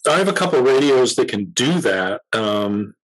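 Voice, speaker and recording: A man in his fifties, an expert guest on a video call, explaining technical matters casually with hesitant pauses. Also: mid-range voice, lively intonation, poor audio. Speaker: interview guest, radio communications expert. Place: home room, on a video call.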